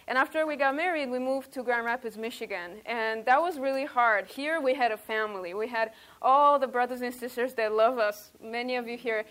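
A woman's voice: fairly high pitch, a little fast, hardly any pauses, fairly bright tone. A woman in her thirties from Romania, leading a church workshop in an outgoing, chatty way with many ums. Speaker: workshop leader. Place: workshop room, indoors.

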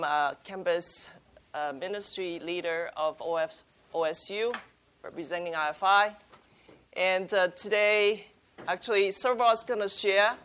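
Woman, born in China, ministry leader; lowish voice, hesitant but cheerful delivery. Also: a bit bright. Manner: halting, many fillers, upbeat. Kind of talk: workshop introduction.

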